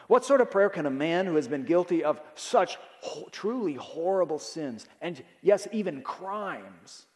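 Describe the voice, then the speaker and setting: Earnest man preaching in a reverberant hall. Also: on the high side. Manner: earnest, emphatic preaching with sudden loud rises. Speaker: pastor. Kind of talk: sermon.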